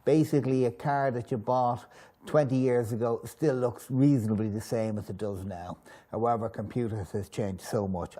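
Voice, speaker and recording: A middle-aged Irish man hosts a business chat show. His voice is mellow and mid-pitched. He talks in a chatty, rambling way, as in a relaxed studio interview. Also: husky. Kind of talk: conversational broadcast interviewing. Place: TV studio in a hotel.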